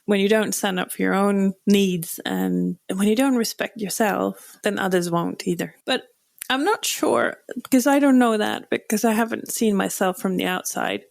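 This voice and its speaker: A woman from Norway, a non-native English speaker, talking reflectively as a podcast guest, often pausing and restarting her sentences. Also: mid-range voice, creaky, dry recording, clean studio sound. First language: Norwegian. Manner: thoughtful, hesitant, restarts sentences. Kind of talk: reflective interview talk.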